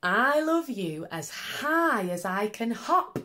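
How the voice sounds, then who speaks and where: A woman's voice: fairly high pitch, very animated, sharp tone, heavily acted. A woman voicing a small, eager young male hare in a storybook, adoring and boastful, drawing out the words. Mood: excited, loving. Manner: drawn-out, emphatic, childlike boasting.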